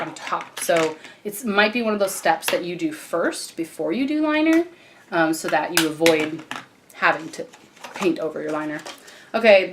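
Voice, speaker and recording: A young woman with a croaky morning voice, chatting casually as she walks through a makeup tutorial. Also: lowish voice, background noise. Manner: chatty, relaxed, frequent fillers and restarts. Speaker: beauty vlogger.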